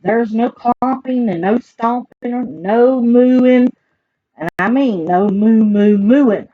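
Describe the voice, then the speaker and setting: Stern storybook farmer played by a female reader, bossy rule-giver, slow emphatic delivery, muffled audio. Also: a little high, no echo. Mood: stern, forbidding. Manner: emphatic, repetitive announcing. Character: stern male farmer laying down rules. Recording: dull muffled audio with background noise.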